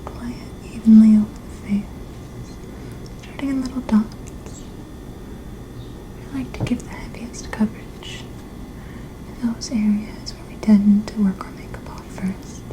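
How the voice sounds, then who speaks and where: Woman whispering a gentle ASMR role-play. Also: mid-range voice, steady tone, unhurried, pauses often, rough recording. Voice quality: breathy, unvoiced whisper. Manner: gentle, polite, reassuring, explains each step. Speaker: ASMR creator playing a makeup artist. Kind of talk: ASMR role-play, personal-attention beauty treatment.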